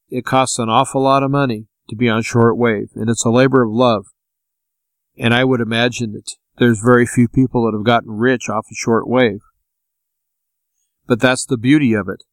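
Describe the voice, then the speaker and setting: A man hosting an informal radio talk, explaining practical tips in a plain-spoken, unhurried manner. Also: mid-range voice, no room sound. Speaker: radio show host giving advice.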